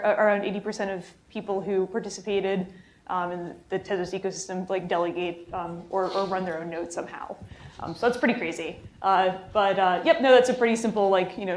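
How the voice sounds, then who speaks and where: A woman speaking on a conference panel in a casual, brisk way. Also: mid-range voice. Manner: casual, brisk, with light laughs. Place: conference hall. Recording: echoey hall.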